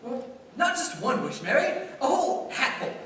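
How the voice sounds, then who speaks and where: An eager, stammering young man with big restless dreams, acted by a male performer in a stage radio play. His lively pitch swings about, heard from far off in a very echoey hall. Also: moderate pace, exaggerated voice. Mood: excited, boyish. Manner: hesitant, stammering, excitable. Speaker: school play actor. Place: school theater stage. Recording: echoey hall, distant mic, faint noise.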